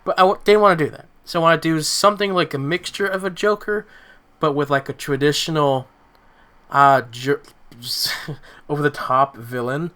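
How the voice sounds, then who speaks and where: A young man who hosts a podcast, chatting casually and haltingly, repeating words as he thinks. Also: fairly high pitch, fairly even intonation, pushed and bright. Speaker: podcast host and amateur voice actor. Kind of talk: casual chat.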